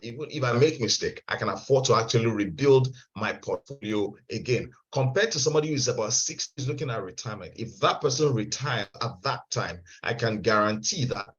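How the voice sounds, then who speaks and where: Halting man teaching over a call. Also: mid-range voice, expressive, a bit bright. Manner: halting, repeats words, checks if heard. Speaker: webinar presenter. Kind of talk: online investment seminar. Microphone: video call. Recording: dull lo-fi video call audio.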